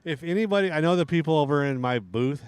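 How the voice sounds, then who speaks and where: A middle-aged man with a hoarse voice, casually commentating a live outdoor RC drag race. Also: a little high, expressive, fast, dry recording. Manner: casual, rambling banter with restarts.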